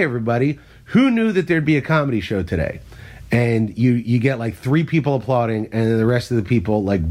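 A man, a stand-up comic, trading stories on a podcast. His voice sits in a middle register. He talks in loose, self-deprecating anecdotes that get animated when he acts out a bit. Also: mid-range voice. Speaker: stand-up comedian and podcast host. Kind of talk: casual podcast chat.

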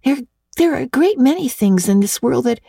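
A woman's voice: high voice, animated, moderate pace, gravelly, mellow, husky, no room sound, studio quality, pitched above the performer's own voice, heavily acted. A woman voicing a dreamy, talkative young girl in an audiobook, rambling eagerly in long breathless runs. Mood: chattering, earnest. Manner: breathless rambling girlish chatter. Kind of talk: audiobook reading.

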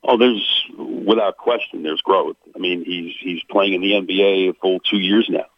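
Male sports announcer guest, deep voice, blunt and opinionated, heard over a dry phone line. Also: steady tone, moderate pace, dry recording. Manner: blunt, opinionated, frequent "uh" and "you know". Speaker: basketball play-by-play announcer guest. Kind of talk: radio sports interview, analytical.

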